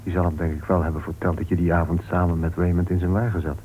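Actor as a deep-voiced suspicious man, vintage radio. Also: little pitch movement, fast talker, lightly acted. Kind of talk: Dutch radio play acting. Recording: old radio recording, narrow tinny band, hiss.

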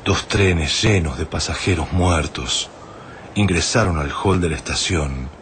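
Extremely deep, haunted Argentine man. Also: a little slow, a bit bright. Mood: haunted, grave. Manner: grave, literary. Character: haunted, somber male traveller recalling a devastated city. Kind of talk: radio drama monologue. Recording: faint ambient noise behind voice.

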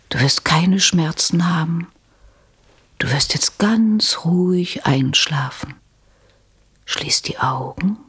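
An actress in a German radio play as a calculating wife who speaks softly and soothingly while coldly poisoning her husband, her voice husky and breathy. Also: sits low, full character voice. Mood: hushed, cold. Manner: soft, coaxing, controlled.

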